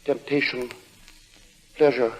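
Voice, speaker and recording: An actor playing a formal, sinister lodger who preaches solemnly about sin, in 1940s radio drama style. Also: male voice, medium pitch, fairly even intonation, takes it slowly, slightly rough, low-quality recording. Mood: righteous condemnation. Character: pious, sinister gentleman lodger obsessed with sin and evil. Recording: old radio drama recording with music.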